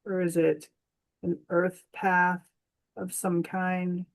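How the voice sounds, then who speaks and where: Meditation guide: woman, lowish voice, very slow delivery, gentle and soothing, generous pauses. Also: monotone.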